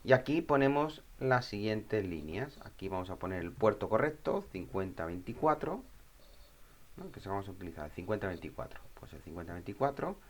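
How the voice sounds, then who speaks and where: A man presenting an informal step-by-step software tutorial, thinking aloud and often checking in with short questions. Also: fairly low pitch, sing-song, fairly quick.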